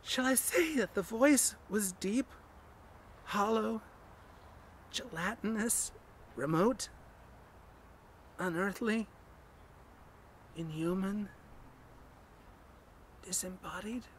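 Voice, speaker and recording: A man's voice: high voice, very slow, pauses often, a little hiss or hum. A man giving a theatrical horror reading as a frantic, haunted man recounting a graveyard ordeal, drawn out and intense. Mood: shaken horror. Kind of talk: dramatic reading of a horror story.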